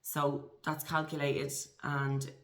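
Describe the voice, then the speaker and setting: A woman's voice: sits low, level tone, slow pace, a bit bright, echoey room. A woman in her forties with an Irish accent, a guest talking earnestly and encouragingly in a conversational interview, with frequent restarts. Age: 40s.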